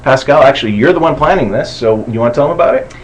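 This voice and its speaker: A man hosting a casual campaign video chat, relaxed and self-deprecating, with lots of fillers. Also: mid-range voice, racing, slight background noise. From Canada. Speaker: host.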